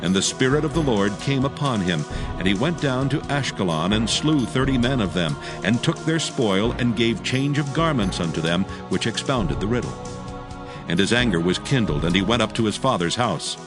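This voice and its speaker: Deep-voiced man solemnly narrating scripture. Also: lively intonation, normal speed, music in the background. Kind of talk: scripted dramatic scripture narration, archaic English.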